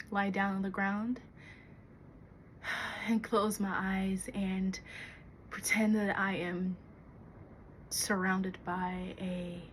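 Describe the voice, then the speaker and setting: A woman performing a theatrical monologue as an anxious, fearful young woman, confiding haltingly with dramatic pauses. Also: mid-range voice, fairly bright tone, a little hiss or hum. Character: anxious young woman confessing a terror of thunderstorms.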